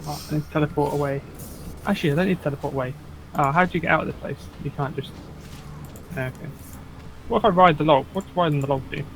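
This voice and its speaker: Man, higher pitch, gravelly, teasing casual gaming chat, faint hiss. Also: fast, pauses often, a bit bright, low-quality recording. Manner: teasing, jokey. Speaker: co-player friend.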